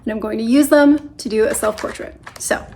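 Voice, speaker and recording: A woman's voice: fairly high pitch, brisk, darkish tone, slight background noise. A woman chatting informally as she explains her photography setup step by step, in a casual tutorial vlog style. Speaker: photographer making self-portraits. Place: dining room at home.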